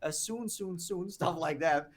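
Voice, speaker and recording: A man's voice: sits high, measured pace. A man with a Dutch accent hosting a podcast in casual chat, loose and rambling, with many fillers and restarts. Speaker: podcast host.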